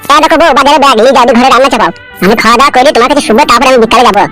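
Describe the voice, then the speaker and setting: A woman voicing an excitable, bickering villager in a comedy cartoon, whiny, with a pitched-up, sped-up voice. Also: racing, soft background music. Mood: pleading. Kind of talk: exaggerated cartoon comedy dialogue.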